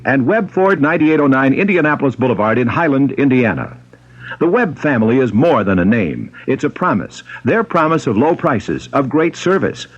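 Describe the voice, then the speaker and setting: A man reading a scripted local radio advertisement as an announcer. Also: fairly high pitch. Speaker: commercial announcer. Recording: dull vintage radio recording.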